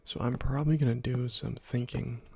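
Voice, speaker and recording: A young man in a soft, breathy half-whisper, musing quietly to himself over ASMR gameplay commentary. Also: mid-range voice, steady tone, moderate pace, faint music behind. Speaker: ASMR gaming channel host.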